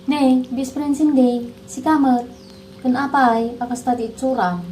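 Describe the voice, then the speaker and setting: A woman's voice: sits high, a little slow, over music, low-quality recording. A woman playing a blunt best friend in a comedy drama, chiding and handing out advice. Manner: chiding, advising. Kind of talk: Ilocano comedy drama acting.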